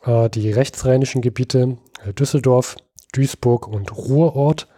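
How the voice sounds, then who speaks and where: A man from Berlin co-hosting a history podcast, explaining at length and halting often with fillers. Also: medium pitch, dark tone, dry recording, clean studio sound. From Berlin, Germany. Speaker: podcast co-host. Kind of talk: casual history podcast chat.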